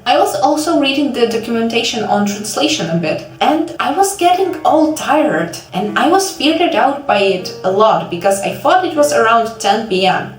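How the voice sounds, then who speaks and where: A woman from Ukraine, a native Ukrainian speaker, narrating a video devlog in an informal, self-deprecating way. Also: mid-range voice. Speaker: video creator and game developer. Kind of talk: scripted devlog narration with casual asides. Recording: roomy echo, distant mic, background music.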